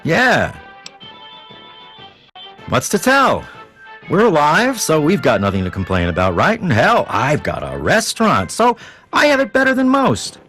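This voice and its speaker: A sheepish small-town junkie in a video game. His talk is casual and jittery, with lively, jumping pitch, and music sits behind him. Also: measured pace, pauses often, exaggerated voice. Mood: friendly. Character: defensive, sheepish male junkie who runs a restaurant. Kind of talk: video game character dialogue.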